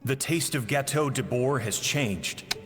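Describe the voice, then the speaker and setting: An actor playing a regretful man who mourns an estranged friend, narrating in a measured, solemn way for a video game. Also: medium pitch, fairly bright tone, slightly rough. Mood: solemn recounting. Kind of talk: scripted dramatic narration of a written confession. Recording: long hall reverb, music behind.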